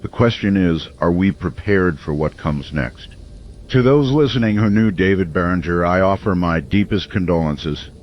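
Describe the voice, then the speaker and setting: Man acting as a low-voiced, solemn late-night radio host. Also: lively intonation, dull audio, a little hiss or hum. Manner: solemn, measured, portentous. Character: brooding, earnest male small-town late-night radio host. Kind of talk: scripted radio monologue in an audio drama.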